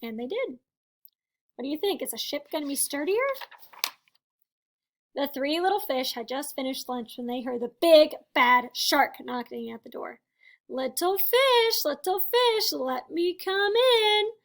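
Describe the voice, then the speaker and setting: A woman teacher doing an expressive storybook read-aloud for first graders, chatting to them and asking questions. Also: high voice, normal speed, long pauses. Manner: expressive, addressing young children with questions. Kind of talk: animated children's read-aloud.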